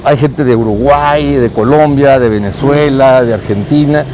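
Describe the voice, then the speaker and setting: A man being interviewed on a city street as a consul, talking slowly with many pauses and fillers. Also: medium pitch, a little mellow, a little hiss or hum, rough recording. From Mexico. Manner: hesitant, many fillers and restarts.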